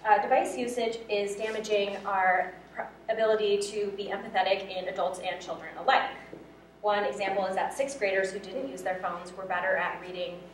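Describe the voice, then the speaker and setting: A woman's voice: medium pitch, fairly even intonation, fairly quick, far from the mic. A young woman delivers a prepared talk as a student presenter. She is in a lecture room, picked up from afar with noticeable echo and a little background noise. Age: young adult.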